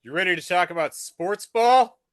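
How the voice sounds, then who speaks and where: A man's voice: high-pitched, lively intonation, moderate pace, heavy background noise. A man co-hosting a casual podcast, blunt and teasing, swearing casually. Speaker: podcast co-host. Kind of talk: casual chat.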